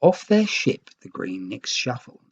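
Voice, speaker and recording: An unhurried storyteller narrates a rhyming picture book for children. The delivery is theatrical and expressive, with a medium pitch. The recording is a little dull and lo-fi. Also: husky. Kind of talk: scripted storybook narration in rhyme.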